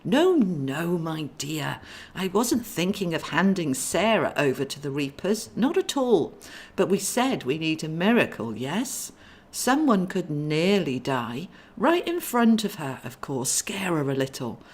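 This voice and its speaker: A woman's voice: low voice, sing-song, unhurried, a little mellow, slightly rough, full character voice. A woman voicing a kindly male angel in a storybook, a genteel, musing big brother with a plan. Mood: persuasive.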